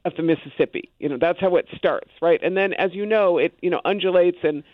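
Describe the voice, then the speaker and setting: A man's voice: high-pitched, fairly quick, no room sound. Man talking over a tinny phone line. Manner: loose and conversational, many asides. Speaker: guest. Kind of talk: casual conversation on a radio show.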